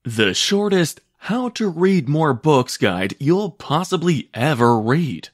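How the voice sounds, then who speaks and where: A man reading a written article aloud as scripted narration, in measured, separate phrases. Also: fairly high pitch, very animated.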